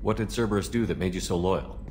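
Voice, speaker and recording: An actor playing a male military commander in a video game, with an even, measured delivery. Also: low-pitched, faint music behind, lightly acted. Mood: steady. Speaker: ship commander.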